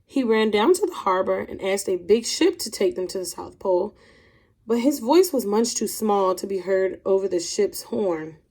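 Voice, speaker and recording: A woman's voice: mid-range voice, lively intonation, normal speed, a little mellow, slightly rough. A woman teacher speaking expressively to young pupils, as in a read-aloud of a children's storybook. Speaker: teacher reading a picture book aloud to children.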